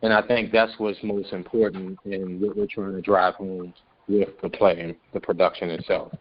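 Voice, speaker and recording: A man speaking as a guest in a panel discussion, measured and explanatory. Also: deep voice, flat delivery, highs cut off. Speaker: podcast guest from a stage play production.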